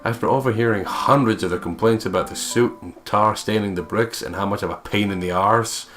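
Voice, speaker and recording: A man with a lowish voice narrates a visual novel for a let's play. His reading is playful and theatrical, peppered with swearing, with faint music underneath. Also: fairly quick, a bit bright. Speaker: let's player reading the game aloud.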